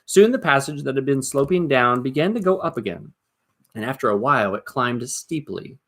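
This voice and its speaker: A man reading a story aloud as dramatic narration, expressive and suspenseful, with deliberate emphasis. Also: mid-range voice. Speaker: storybook reader.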